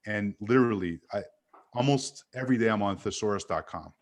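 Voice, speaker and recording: A man from Montreal, an animated guest who tells stories and corrects himself as he chats on a video call. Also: lowish voice, fairly quick. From Canada. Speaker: guest sales speaker. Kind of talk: casual video-call chat.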